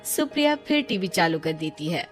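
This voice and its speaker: A woman's voice: lowish voice, sing-song, a little fast, breathy edge, faint music behind. A woman storyteller reading scripted narration for a cartoon tale.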